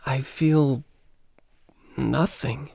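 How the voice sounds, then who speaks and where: Man acting a slow, creaky lovelorn American vacationer. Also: mid-range voice, steady tone, darkish tone, dry recording, studio quality, heavily acted. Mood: dejected. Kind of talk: radio play acting.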